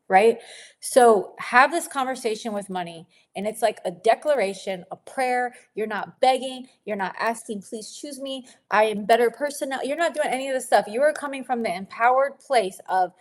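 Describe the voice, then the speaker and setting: A woman coaching a women's group on their relationship with money in a gentle, encouraging teaching talk, sniffling a little and laughing often. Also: a little high.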